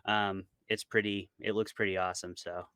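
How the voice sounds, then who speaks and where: A man's voice: lowish voice, little pitch movement, normal speed, a bit bright, clear, resonant tone, no room sound, studio quality. A man being interviewed as a podcast co-host, explaining things in a casual, informal way.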